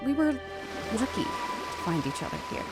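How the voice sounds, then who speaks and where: An actress playing a devoted, headstrong biologist on an oil rig in an audio drama, emotional and earnest. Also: female voice, high voice, sing-song, normal speed. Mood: caring. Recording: music under the voice.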